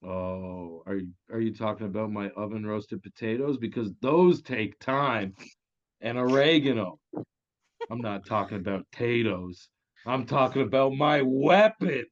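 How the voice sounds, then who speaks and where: A man's voice: on the low side, a little slow, close to an everyday voice. A man playing a cocky, crude crime boss with flippant, theatrical menace in a comedy table read. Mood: mocking. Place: virtual table read over video call. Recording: dull, lo-fi online call.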